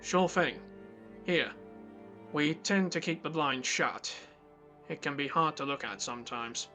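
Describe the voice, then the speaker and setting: A man's voice: on the high side, a little slow, pushed and bright, faint music behind. A man playing a blunt, guarded spaceship captain in a sci-fi audio drama. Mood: matter-of-fact. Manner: blunt, skeptical.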